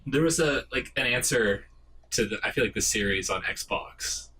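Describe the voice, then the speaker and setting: A man's voice: mid-range voice, expressive, normal speed, bright, forceful tone, slight background noise, poor audio. A man chatting casually as co-commentator on a video game playthrough. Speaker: gaming co-commentator. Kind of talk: casual let's play chat.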